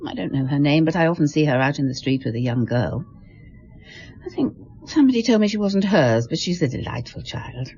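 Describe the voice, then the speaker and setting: A middle-aged wife in a radio play, fussy and fond. Her voice is low and husky with lively swoops, on a dull recording. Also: brisk, heavily acted. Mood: chatty. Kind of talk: radio drama acting.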